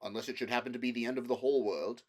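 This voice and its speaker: A man's voice: mid-range voice, fairly even intonation, racing, natural voice. A man voicing a gloomy, fatalistic marsh creature in a storybook reading, predicting doom matter-of-factly. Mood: grimly certain. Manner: glum, matter-of-fact doom-saying.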